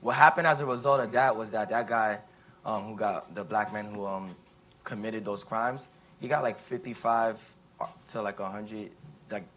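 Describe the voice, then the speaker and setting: A man's voice: lowish voice, a bit bright. A young man speaking up from the audience at a panel discussion, asking a long, rambling question full of fillers and restarts. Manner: halting, many fillers and restarts. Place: conference room with an audience.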